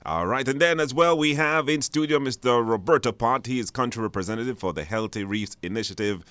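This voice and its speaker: A man with a Belizean accent hosting a live radio talk show, speaking in a halting way with repeated phrases. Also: mid-range voice, fairly bright tone, clear, resonant tone, no echo. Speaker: radio host. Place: radio studio.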